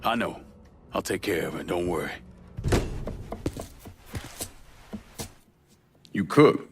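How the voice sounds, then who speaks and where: Casting: determined young enforcer, deep voice, terse and controlled, crime game with music bed. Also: male voice, animated, normal speed. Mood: guarded. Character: cool, determined young adult male criminal enforcer. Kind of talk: video game cutscene acting. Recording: music under the voice.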